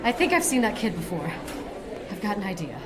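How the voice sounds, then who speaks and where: A woman playing a brisk, assertive detective's partner in an audio drama. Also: medium pitch, big pitch swings, a loud crowd, natural voice. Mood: thoughtful. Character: brisk female detective's partner, bossy and alert. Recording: very noisy ambience.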